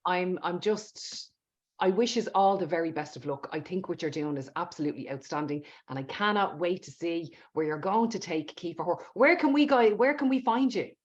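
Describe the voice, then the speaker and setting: A woman's voice: on the low side, moderate pace. A woman hosting a podcast interview in an emphatic, conversational way, often repeating words for stress. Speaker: podcast host. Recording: dull lo-fi audio.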